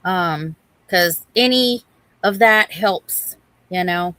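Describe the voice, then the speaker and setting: A woman with a bright, forward voice chats casually as a livestream co-host. Her pace is slow and conversational, and the sound is dry with little room in it. She talks informally and directly to the people in the chat. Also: lowish voice, no echo. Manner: chatty, addresses and greets viewers by name. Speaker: livestream co-host reading and answering viewer chat.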